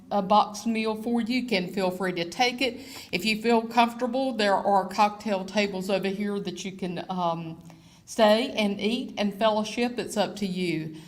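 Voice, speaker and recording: A woman hosting a college event, making announcements and thanking students, with many small fillers. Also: mid-range voice. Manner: grateful, hesitant with fillers. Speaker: event host.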